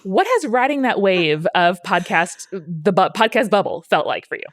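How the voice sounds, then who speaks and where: A woman's voice: medium pitch, sing-song, moderate pace, dry recording, studio quality. A woman in her thirties hosting a podcast in a casual, enthusiastic, slangy conversational way. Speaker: podcast host.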